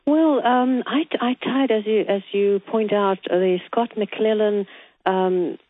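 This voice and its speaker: A woman's voice: mid-range voice, slow, no room sound. A woman who is a guest columnist giving opinions in a radio interview, hesitant and full of ums but emphatic. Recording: dry phone-line audio.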